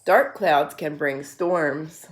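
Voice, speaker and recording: A woman reading picture books aloud to a young child as a storyteller, pausing to explain things simply. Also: low-pitched, a little hiss or hum. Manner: simple explanations to a child between read passages.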